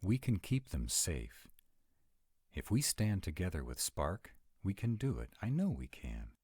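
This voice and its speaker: Guy voicing an earnest uneasy vampire, super low husky voice that moves around a lot, audio roleplay. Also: wildly swooping pitch, a little slow, a little mellow, no room sound, clean studio sound, full character voice. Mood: earnest, resolute. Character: young male vampire, earnest, dutiful, uneasy, protective. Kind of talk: roleplay audio drama acting.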